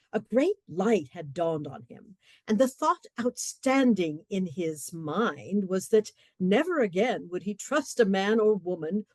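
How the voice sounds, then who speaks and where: A woman's voice: mid-range voice, a little mellow, no echo. A woman narrating a comic radio play in scripted storytelling style.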